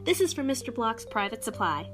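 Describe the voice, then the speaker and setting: A woman's voice: a little high, sing-song, fairly quick, music in the background. A woman playing a chatty, outspoken young store clerk in a radio play, talking in a folksy, slangy, confiding way. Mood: friendly, confiding.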